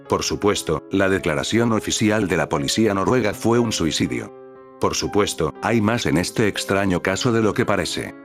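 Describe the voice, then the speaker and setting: A man giving scripted narration in an even, read-aloud manner. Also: low voice. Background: faint music.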